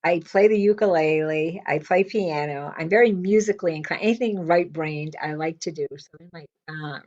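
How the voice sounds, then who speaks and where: An older woman in semi-retirement telling a casual story with a loud, blunt way of talking, acting out what people said. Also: sits low, moderate pace, highs cut off. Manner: lively, blunt, quotes people in her stories. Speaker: interviewee.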